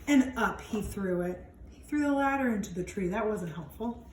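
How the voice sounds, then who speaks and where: A woman reads a picture book aloud to children in an animated way, stretching words for emphasis and adding chatty, wondering asides. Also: medium pitch, normal speed. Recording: echoey room with some background noise.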